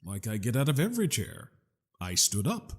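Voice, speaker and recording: An actor playing a flippant, verbose gentleman genius in a comedic audio drama, glib and mock-polite with stammering asides. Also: on the low side, wildly swooping pitch, normal speed. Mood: glib, chatty.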